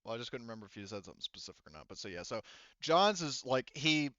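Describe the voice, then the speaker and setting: A man's voice: mid-range voice, very animated, quick pace, bright, forceful tone, no echo, dull audio. A man improvising narration as a tabletop game master, casual and rambling, often stopping to correct himself.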